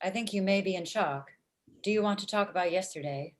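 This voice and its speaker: A woman playing a blunt female matchmaking robot with formal, deadpan phrasing in a comedy table read over video call. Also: medium pitch, brisk, lightly acted. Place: indoor room at home.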